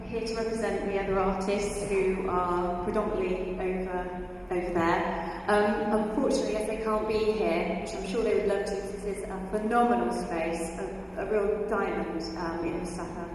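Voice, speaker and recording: A woman's voice: mid-range voice, fairly even intonation, moderate pace, darkish tone, recorded from a distance, slight background noise. Businesslike woman in echoey hall. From Bristol, England. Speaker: project manager representing artists. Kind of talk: presentation at exhibition.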